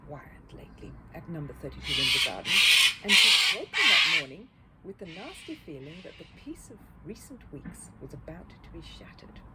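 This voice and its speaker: Woman reading a kids' audiobook, very lively intonation, bit on the low side, noisy background. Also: moderate pace. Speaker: storyteller. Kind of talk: expressive children's audiobook narration. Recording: clear background noise.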